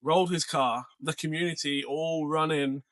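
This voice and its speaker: A man co-hosting a podcast, chatting casually and telling stories in a rambling, animated way. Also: a little high, normal speed, no echo. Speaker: podcast co-host. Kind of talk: casual podcast chat about off-road adventures.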